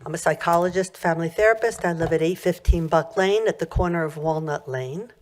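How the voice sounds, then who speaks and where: Loud, low-pitched woman at public comment. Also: fairly quick, slight background noise. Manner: rambling, earnest, self-interrupting with fillers. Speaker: resident speaking at public comment. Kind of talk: public comment at a township meeting. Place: township meeting room.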